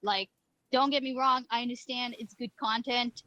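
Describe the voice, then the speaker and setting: A woman's voice: a little high, fairly even intonation, moderate pace, a loud crowd, low-quality recording. A woman streamer on a call, talking casually and hesitantly, often restarting her sentences.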